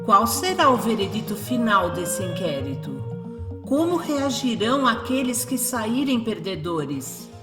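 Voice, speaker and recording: A woman's voice: on the high side, very animated, unhurried. A Brazilian woman narrating a scripted radio drama. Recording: echoey, faint music behind.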